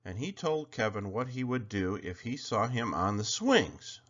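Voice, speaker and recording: A man narrating a children's picture book aloud in an expressive storytelling style. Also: on the low side, measured pace, highs cut off.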